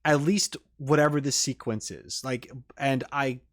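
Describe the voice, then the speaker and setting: A man's voice: medium pitch, dry recording, studio quality. A man hosting a reaction video with casual, rambling commentary, often breaking off mid-thought and restarting his sentences. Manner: halting, self-interrupting, trailing off mid-thought; casual swearing.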